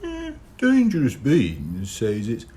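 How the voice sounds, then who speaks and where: A man's voice: mid-range voice, wildly swooping pitch, slow pace, mellow, husky, voice pushed up, exaggerated voice. A man voicing a doubtful young boy who speaks carefully and hesitantly in an audiobook.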